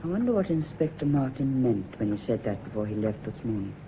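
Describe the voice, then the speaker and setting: An actress playing an anxious, brooding secretary and secret lover in a British radio drama, nervy and intense, with a British accent. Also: female voice, rumbling low, big pitch swings, fairly quick, noisy background. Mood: haunted, anxious. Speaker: actor in a radio play.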